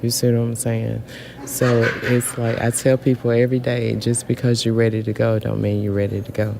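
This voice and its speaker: A woman's voice: very deep voice, little pitch movement, normal speed, vocal fry, background noise. A middle-aged woman speaking informally and from the heart, repeating phrases for emphasis, recorded on location with other voices around. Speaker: incarcerated artist. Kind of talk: heartfelt informal interview answer. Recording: location recording with crowd chatter behind. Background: background voices.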